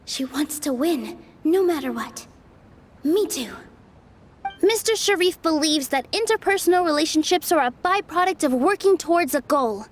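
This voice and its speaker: High, gentle, loyal young dancer. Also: female voice, expressive, normal speed. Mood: hushed, sympathetic. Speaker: voice actor in a game dub. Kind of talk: dramatic game dialogue.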